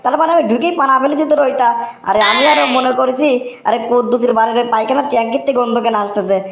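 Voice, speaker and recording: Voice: mid-range voice, steady tone, racing, gravelly, a bit bright. A performer voicing a whiny, greedy young village man in a comic cartoon, exclaiming and repeating in a dialect. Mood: panicked, disgusted. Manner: exclamatory, whining, repetitive. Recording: roomy echo, faint background noise.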